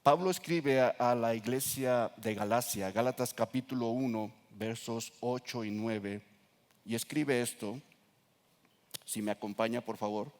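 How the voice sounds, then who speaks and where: A man with a Mexican accent, a pastor preaching earnestly to his congregation and reading scripture slowly with emphasis. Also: medium pitch. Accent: Mexican Spanish. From Nayarit coast, Mexico. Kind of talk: sermon. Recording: reverberant church hall.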